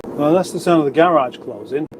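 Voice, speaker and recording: A man's voice: fairly high pitch, animated, brisk, noisy background. A man with a runny nose, hosting a casual livestream, rambling chattily with wry asides as he reads out comments. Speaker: livestream host. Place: garage.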